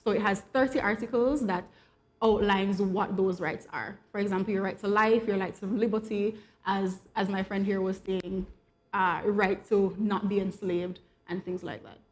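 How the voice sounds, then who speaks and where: A woman with a Jamaican accent appears as a guest attorney on a morning talk show. Her voice sits at a middle pitch. She explains in a polite, explanatory way. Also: steady tone, normal speed. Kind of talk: studio guest interview.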